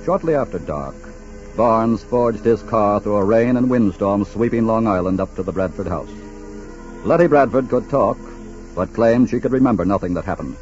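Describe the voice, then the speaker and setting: A low-pitched, sober crime-drama narrator voiced by a man tells the case in formal, measured 1940s radio style. Music plays beneath, and the old recording is muffled. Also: animated, a little mellow, close to an everyday voice. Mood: sober, dramatic.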